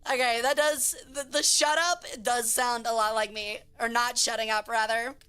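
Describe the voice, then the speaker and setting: A woman streamer chatting casually with her chat in a hoarse voice, reading messages aloud. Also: on the high side, fairly even intonation, moderate pace, pushed and bright. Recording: faint music behind.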